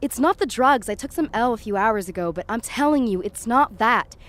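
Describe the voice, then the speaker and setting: A woman's voice: a little high, animated, brisk, a bit bright. A woman playing a frightened, sceptical, foul-mouthed young woman in a first-person audio drama. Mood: agitated, disbelieving. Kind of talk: first-person present-tense audio drama narration and dialogue.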